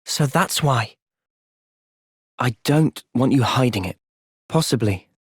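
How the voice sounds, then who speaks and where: An earnest young British soldier, dry studio sound. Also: male voice, mid-range voice, animated, slow pace, breathy edge, no echo. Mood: calm, earnest. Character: earnest, thoughtful young male soldier, gentle but resolute. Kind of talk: video game cutscene voice acting.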